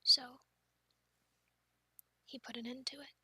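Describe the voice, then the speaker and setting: A high, bright young female voice , acted by a woman, plays a friend sharing spooky stories in an ASMR roleplay. She is expressive and playful, slipping toward breathy softness. The sound is close and very dry. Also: slow, dry recording, heavily acted. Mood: hushed, solemn. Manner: expressive, teasing, breaking into breathy near-whispers.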